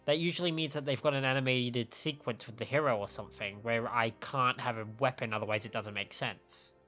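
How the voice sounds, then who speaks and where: A male streamer chats and jokes casually through a game playthrough. His mid-pitched voice comes through slightly muffled, with faint music behind it. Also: fairly even intonation, fairly quick, sharp tone. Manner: joking, chatty. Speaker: live streamer, host.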